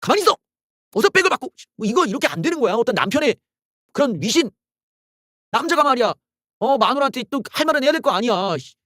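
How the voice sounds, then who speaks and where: Heated, high-pitched male political ranter. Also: very animated, fast talker, pauses often, fairly bright tone, no echo, clean studio sound. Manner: heated and sarcastic, exclaiming and cursing. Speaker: political YouTube host. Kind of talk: solo political commentary rant.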